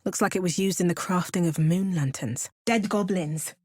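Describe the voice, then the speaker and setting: A woman's voice: lowish voice, moderate pace, darkish tone, slightly rough, no room sound. A woman playing an alert, determined adventurer in a video game, giving short remarks and dramatic spell incantations. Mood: measured, observant. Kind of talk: video game character voice lines.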